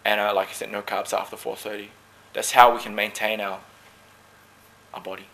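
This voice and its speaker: A man from Malaysia who talks about his team sport in relaxed, joking interview answers. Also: fairly low pitch, brisk, vocal fry. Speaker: dragon boat paddler.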